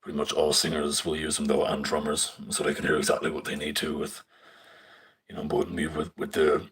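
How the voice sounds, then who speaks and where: A young man with a very deep voice, casually walking through a stage plot on screen, rambling with fillers and casual swearing. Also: monotone, fairly quick, fairly bright tone, slightly rough. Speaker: stage tech student. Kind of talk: casual live screen walkthrough. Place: indoors at a screen. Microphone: phone filming a screen.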